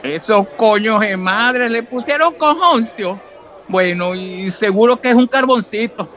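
An actor playing a gruff, foul-mouthed Venezuelan father and bar owner in a radio soap, blunt and emotional. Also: sits high, a little slow, fairly bright tone. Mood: blunt, determined. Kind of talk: radio soap opera acting. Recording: prominent guitar music and crowd noise behind.

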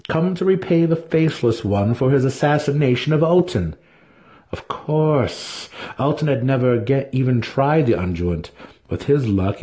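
A man's voice: medium pitch, mellow. A man giving a dramatic reading of fantasy fiction as an audiobook narrator. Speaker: audiobook reader.

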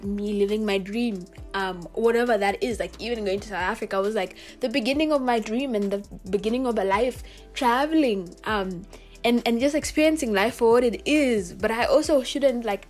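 A woman's voice: on the high side, darkish tone. A young woman with a Kenyan accent, an international student talking earnestly to her viewers in a casual chat full of "like" and "you know". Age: young adult. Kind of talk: casual reflective monologue to camera.

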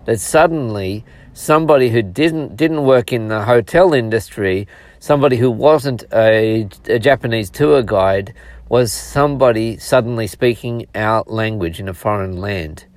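A middle-aged man hosting a solo podcast, talking reflectively and conversationally, with halting pauses and repeated words as he draws out a lesson. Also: lowish voice, no room sound. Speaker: podcast host.

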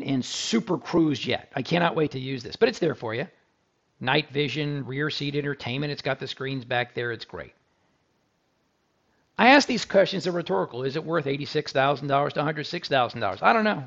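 A man's voice: on the high side, gravelly, a bit bright, lo-fi sound. A man hosting a car review podcast, talking casually and with enthusiasm, full of asides to his listeners.

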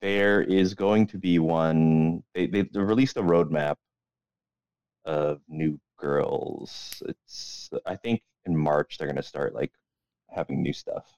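A man's voice: rumbling low, fairly even intonation, slow pace, vocal fry, a little mellow, clear, resonant tone, no room sound, highs cut off. A young man co-hosting a podcast in casual, rambling chat full of profanity and slang. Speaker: podcast co-host.

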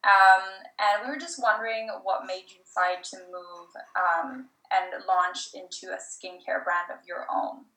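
Woman co-host, halting questions, far from the mic, roomy echo. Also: on the low side, steady tone, sharp tone. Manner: hesitant, filler-heavy questions. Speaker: podcast co-host. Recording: echoey and distant from mic.

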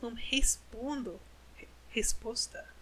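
Slow, bright-voiced woman learning Portuguese. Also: a little high, a little hiss or hum, rough recording. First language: English. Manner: slow, careful learner's speech with self-corrections. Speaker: podcast host. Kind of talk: casual podcast monologue.

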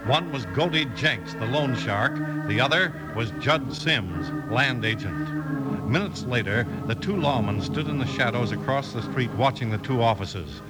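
Old-time radio announcer, male: mid-pitched, lively, dramatic, over loud music. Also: unhurried, natural voice, poor audio. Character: upbeat male radio announcer of a western show. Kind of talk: 1950s radio announcing, scripted narration.

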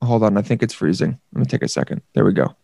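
A man's voice: on the low side, expressive, fast talker, gravelly, warm tone, no room sound, studio quality. A man hosting a podcast in casual, jokey chat, slipping into little acted voices. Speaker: podcast host.

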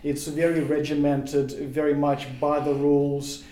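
A man's voice: a little high, monotone, measured pace, mellow, echoey room, low-quality recording. A man with a Russian accent, whose first language is Russian, talking thoughtfully as a podcast guest and searching for his words with frequent restarts. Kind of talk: casual podcast interview.

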